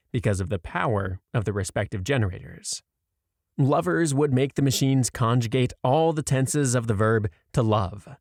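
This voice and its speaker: A man narrating a short story aloud in a measured, clearly read storytelling delivery. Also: medium pitch, a little mellow, no echo, clean studio sound.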